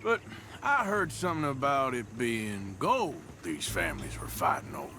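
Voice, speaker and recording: A man's voice: mid-range voice, big pitch swings, normal speed, background noise, close to an everyday voice. An actor playing a rugged, world-weary American outlaw gunman, laconic and wry, in a western game. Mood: dry, skeptical. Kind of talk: cinematic western game acting.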